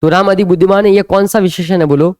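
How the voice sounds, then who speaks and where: High-voiced man teaching by call and answer. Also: fairly even intonation, fast, darkish tone, clean tone. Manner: drills students with repeated call-and-answer questions. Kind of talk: live online grammar lesson.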